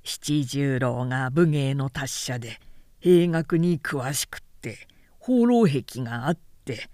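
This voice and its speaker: A woman voicing a calm, genial senior samurai man in a period-novel audiobook, speaking softly and gently deflecting. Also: rumbling low, dry recording, studio quality, pitched below the performer's own voice, heavily acted. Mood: mild, placating. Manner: soft, unhurried, gently deflecting.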